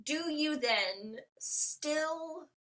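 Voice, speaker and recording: A woman podcast host with a very bright, fairly high voice. She chats casually and animatedly, joking her way through loose, conversational sentences. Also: extremely slow, rough recording. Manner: animated, joking, frequent use of "like". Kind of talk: casual chat.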